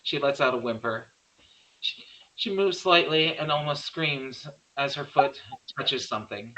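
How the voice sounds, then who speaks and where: A man's voice: medium pitch, a little slow, pushed and bright, low-quality recording. A man reading the stage directions of a horror screenplay in plain scripted narration.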